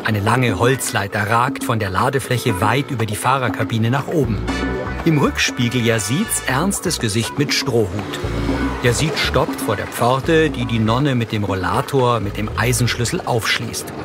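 A man's voice: medium pitch, expressive, measured pace. Guy narrating tv scenes, neutral and matter of fact, medium voice, music under it. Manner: neutral, factual. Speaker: audio description narrator. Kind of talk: scripted narration.

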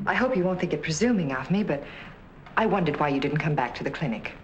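A gentle young 1940s nurse. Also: female voice, sits low, lively intonation, fast, muffled recording. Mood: concerned. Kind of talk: 1940s film acting. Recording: faint hiss on old film soundtrack.

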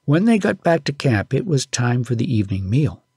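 A man's voice: mid-range voice, big pitch swings, normal speed, a little mellow, husky, no room sound, studio quality. A man reading a story aloud as an audiobook narrator, in scripted storytelling style.

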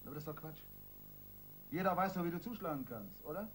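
An actor playing a hard, sarcastic police detective who presses a suspect in a radio crime play. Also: male voice, fairly high pitch, animated, fast talker, a little hiss or hum, natural voice. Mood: pressing.

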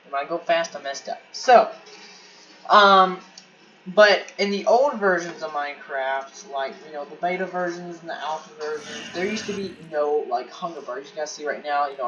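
A man giving casual gaming commentary, excitable and rambling, often repeating words and restarting his sentences. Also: on the high side, dull audio. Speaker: gameplay commentator. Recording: distant mic with noisy background.

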